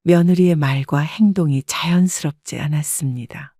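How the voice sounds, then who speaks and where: Older woman narrator, calm measured first-person storytelling, dry close sound. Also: sits low, no room sound.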